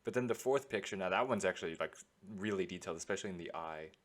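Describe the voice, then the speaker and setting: A man's voice: low-pitched, quick pace, a crowd in the background. A man chatting casually and jokingly in a podcast group, often giggly.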